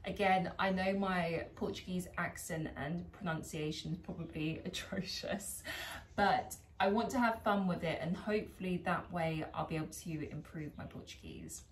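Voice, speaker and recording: A woman's voice: on the low side, moderate pace, a little hiss or hum, rough recording. A British woman chatting casually in a vlog, laughing at herself as she tries out foreign phrases. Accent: British English. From England. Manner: chatty, self-deprecating, trying out foreign phrases. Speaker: vlogger. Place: home garden.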